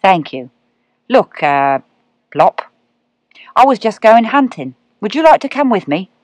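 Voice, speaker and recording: A woman's voice: mid-range voice, big pitch swings, no echo, pitched above the performer's own voice. A woman doing Orion, a drawling kindly cat. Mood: dreamy. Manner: drawling, gentle and unhurried. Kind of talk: storybook reading.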